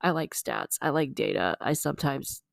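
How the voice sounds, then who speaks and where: A casual podcast host rambles through notes on upcoming shows, sounding slightly congested. The voice sits in the middle of the range with a gravelly, fry-heavy edge. It is recorded close to the mic in a dry, deadened space. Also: mid-range voice, animated, normal speed, dry recording. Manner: chatty, rambling, frequent "like" and "uh". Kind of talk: casual solo podcast chat.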